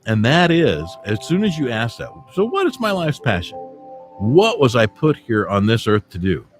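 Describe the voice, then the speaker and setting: A man hosting a morning internet radio show, chatting in a relaxed, reflective way about a self-help book before playing songs. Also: medium pitch, moderate pace, faint music behind. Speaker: radio host.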